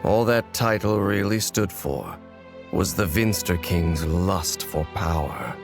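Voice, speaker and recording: Stoic game warrior: lowish voice, solemn, measured, formal delivery, coldly determined, faint music behind. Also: male voice, vocal fry, full character voice. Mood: somber, bitter. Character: grave, brooding male warrior, coldly determined. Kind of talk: English video game voice acting. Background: background music.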